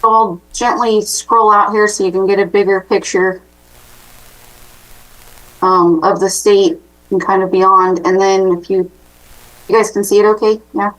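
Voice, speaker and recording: A woman's voice: mid-range voice, steady tone, unhurried, long pauses, a bit bright, recorded from a distance, low-quality recording. A woman staff member giving informal, tentative remarks at a public county meeting, in a conversational way.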